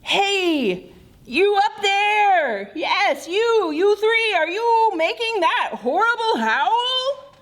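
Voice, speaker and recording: Woman voicing a bellowing moose, bright and animated. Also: very high voice, drawn-out words, pitched above the performer's own voice, full character voice. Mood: alarmed. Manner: bellowing, calling out.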